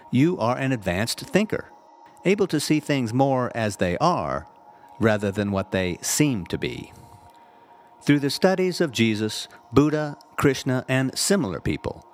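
A man narrates a scripted spiritual piece in the manner of an inspirational reading. His phrasing is measured and deliberate, set apart by emphatic pauses. The pitch rises and falls expressively, and a faint ambient noise sits behind him. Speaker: reverend reading a spiritual audio text.

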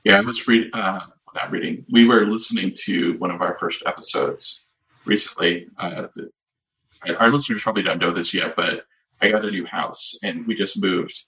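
A man's voice: deep voice, normal speed, pushed and bright, low-quality recording. A man co-hosting a podcast in casual chat, rambling with many fillers and restarts. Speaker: podcast co-host.

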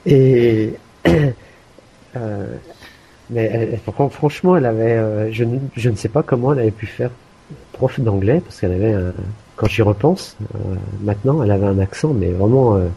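A man chatting casually about learning a language, hesitant, with many restarts and fillers and the odd throat clearing. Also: fairly low pitch, normal speed, dark tone, poor audio. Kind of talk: casual conversation.

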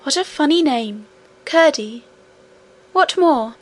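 A woman reader acts out a little storybook princess with a high, childlike voice. She is innocent and affectionate, speaks with precise politeness and can be earnestly stubborn. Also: expressive, takes it slowly. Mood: curious. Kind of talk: storybook character reading.

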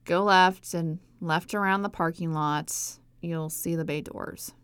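A woman voicing a helpful, matter-of-fact office receptionist in an audiobook, giving measured instructions. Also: fairly low pitch, fairly even intonation, fairly bright tone, smooth, no room sound, voice pushed up. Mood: helpful, instructive.

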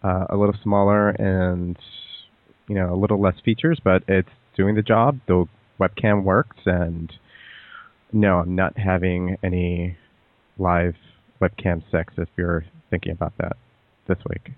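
A man's voice: sits low, little pitch movement, unhurried, no room sound. A man hosting a podcast interview, chatting casually in a giggly, teasing way, with many ums. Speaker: podcast host. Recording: dry, tinny phone-like line.

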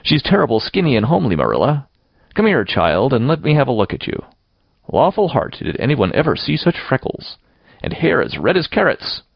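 A haughty village busybody woman, played by a male audiobook reader, raised well above his natural pitch. She speaks her mind emphatically, with opinionated, swooping indignation. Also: huge pitch swings, fairly quick, gravelly, slightly rough, dry recording, highs cut off, full character voice. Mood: blunt, critical. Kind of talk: audiobook character voice.

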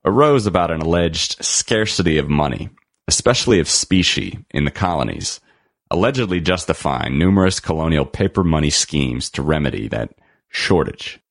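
A man reading a scripted article aloud as a narrator, in a measured, deliberate and formal manner with emphatic phrasing. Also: very deep voice, lively intonation, no echo, clean studio sound.

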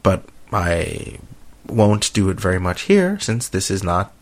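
Male podcast co-host. Slow delivery, animated intonation, informal and jokey. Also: deep voice, a little hiss or hum. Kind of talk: casual unscripted co-hosted chat.

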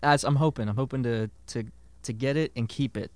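Podcast cohost guy, kinda sick so voice is lower, jokey, dead dry sound. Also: fairly quick, no room sound. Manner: jokey, laughs often. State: under the weather, voice lower than usual. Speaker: podcast co-host. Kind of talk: casual podcast chat.

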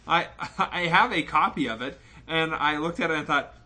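A man co-hosting a podcast in casual chat, gravelly tonight, laughing often and joking. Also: a little high, normal speed. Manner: laughs often, jokey and animated. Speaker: podcast co-host.

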